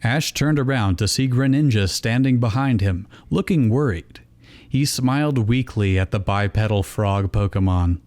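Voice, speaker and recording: A man's voice: mid-range voice, normal speed, a little mellow. A man reading fan fiction aloud as narrator, in scripted storytelling style. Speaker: reader and narrator.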